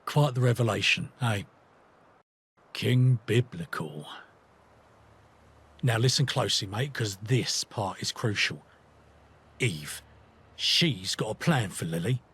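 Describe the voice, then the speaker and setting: An actor playing a conflicted, earnest British rebel in a video game, talking in a matey, reflective, colloquial way. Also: male voice, lowish voice, animated, takes it slowly, long pauses, fairly bright tone, slightly rough, full character voice. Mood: confiding, serious. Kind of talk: scripted video game companion dialogue.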